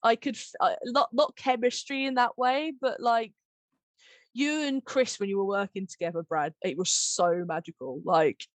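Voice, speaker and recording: A woman chatting casually and informally, with an animated, giggly manner, as she hosts a podcast. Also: a little high, moderate pace, no room sound. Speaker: podcast host, audio drama director. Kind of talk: casual chat, behind-the-scenes discussion.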